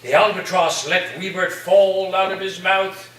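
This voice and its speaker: A man's voice: high voice, fairly even intonation, a little slow, a bit bright. A man playing an eccentric, grandiose college professor and dreamy storyteller in a theatrical staged reading, with a dramatic delivery. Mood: dreamy, solemn. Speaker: actor in a staged play reading. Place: echoey room at a live staged reading. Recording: roomy, distant mic, faint noise.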